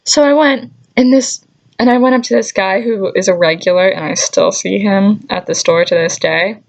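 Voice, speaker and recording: A young adult woman telling casual, chatty stories in an animated, exasperated way, with sarcastic asides and mild swearing. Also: mid-range voice, normal speed, vocal fry. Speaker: storytelling vlogger. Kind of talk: casual anecdote vlog, chatty.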